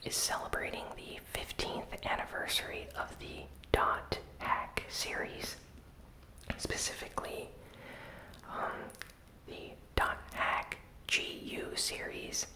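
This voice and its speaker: A host giving ASMR gaming news commentary in a breathy, unvoiced whisper, soft-spoken and unhurried. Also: rough recording.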